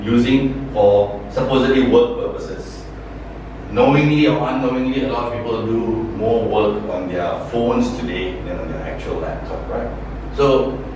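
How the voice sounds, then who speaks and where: A man in his forties from Malaysia, giving a cybersecurity training session in a conversational way, often correcting himself and asking rhetorical questions. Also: mid-range voice. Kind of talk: workshop presentation. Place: training room. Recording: echoey room, far from the mic, noticeable noise.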